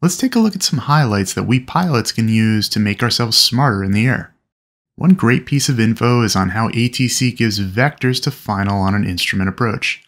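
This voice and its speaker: A man's voice: fairly low pitch, lively intonation, normal speed, a bit bright. A man giving a scripted explainer narration in an instructional manner, as if teaching peers. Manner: instructional, addresses fellow pilots.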